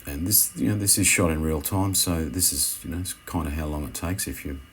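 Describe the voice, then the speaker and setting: Male instructor, extremely deep register, unscripted tutorial voice-over with hesitant phrasing, some noise behind the voice. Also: a bit bright. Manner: hesitant, halting, self-correcting. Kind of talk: unscripted how-to voice-over commentary.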